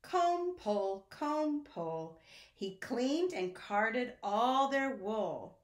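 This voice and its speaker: A woman reads a children's story in a lively, swooping, sing-song way. She takes her time and speaks directly to young listeners, in an echoey room. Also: on the high side, very slow. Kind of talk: children's picture-book read-aloud. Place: indoor room at home.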